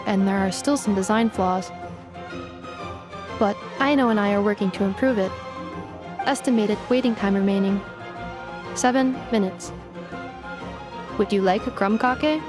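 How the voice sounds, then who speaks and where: Woman voicing a deadpan, polite robot girl. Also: medium pitch, music in the background, lightly acted. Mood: calm, matter-of-fact. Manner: formal, measured, deadpan. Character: polite, precise robot girl engineer, unemotional. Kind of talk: video game dialogue.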